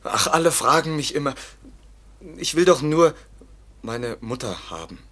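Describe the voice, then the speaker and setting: Radio drama role: young foundling man, naive, plaintive, halting, easily frightened. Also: on the high side, lively intonation, fairly bright tone, full character voice. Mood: sad, plaintive. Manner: halting, naive, short simple sentences. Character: melancholy, fearful, childlike young foundling man. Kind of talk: classic German radio play acting.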